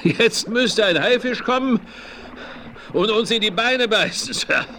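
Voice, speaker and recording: A man's voice: sits high, expressive, unhurried, noisy background. An actor playing a cheerful, wry old Western frontiersman with a colorful, folksy manner in a 1970s radio play. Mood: wry, joking. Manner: chuckling, colorful, folksy exclamations.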